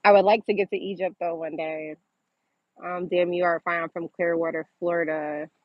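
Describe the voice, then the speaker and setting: A woman's voice: lowish voice, fairly even intonation, normal speed, dry recording. Woman livestreamer, casual and chatty, dull audio. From Massachusetts. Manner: informal, chatty, often laughing as she talks. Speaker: livestream host. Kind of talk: casual livestream chat reading and answering viewer comments.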